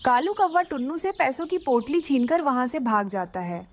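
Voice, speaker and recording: A woman's voice: sits high, lively intonation, normal speed, slight background noise. A woman narrating a scripted children's cartoon story.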